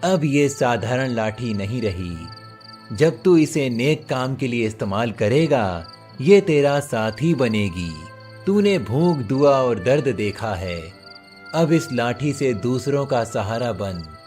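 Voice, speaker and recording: A man's voice: medium pitch, lively intonation, takes it slowly, darkish tone, soft background music. A man voicing a wise, stern male magical bird in a cartoon story, speaking solemnly and giving blessings and warnings.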